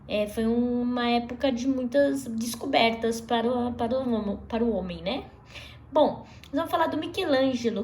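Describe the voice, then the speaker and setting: A woman's voice: on the high side, a little hiss or hum. Chatty woman teaching a kids' art class. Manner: chatty, lively, asks pupils questions, restarts words. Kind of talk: recorded class lesson for children.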